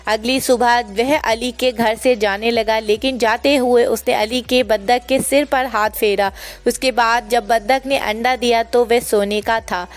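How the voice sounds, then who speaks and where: A woman's voice: on the high side, steady tone, measured pace. A woman narrating a moral story for children as a scripted reading, hosting her own storytelling channel. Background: background music.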